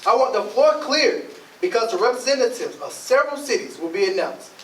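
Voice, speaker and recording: A man's voice: extremely high pitch, big pitch swings, brisk. A man playing a folksy, showy announcer in a staged play, declaiming in Southern folk dialect. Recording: roomy, distant mic, faint noise.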